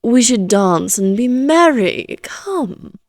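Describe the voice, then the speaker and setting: A woman's voice: a little high, big pitch swings, slow pace, no room sound, clean studio sound, full character voice. A woman playing a playful, flirtatious immortal vampire in a Victorian fantasy audio roleplay, teasing in genteel, archaic phrasing. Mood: playful, coaxing.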